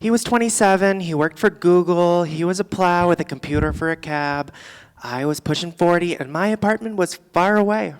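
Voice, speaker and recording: A performer playing a boozy, world-weary middle-aged female comic, doing a rambling, deadpan stand-up monologue live on a theatre stage. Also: mid-range voice, normal speed, clean tone, in a large hall, lightly acted. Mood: confessional, swaggering. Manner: rambling, deadpan, theatrical, swinging between asides and outbursts.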